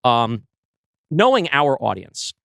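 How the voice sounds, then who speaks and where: A man's voice: medium pitch, very animated, slow pace, gravelly, a bit bright, clean tone, no room sound. A man co-hosting a podcast, talking through his ideas in a plain mid-range voice. He circles back and restarts as he thinks aloud. The sound is close and dry, with no room around it. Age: adult, married. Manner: thoughtful, explains his creative choices with many restarts. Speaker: podcast co-host, writer of the story. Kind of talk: casual podcast discussion.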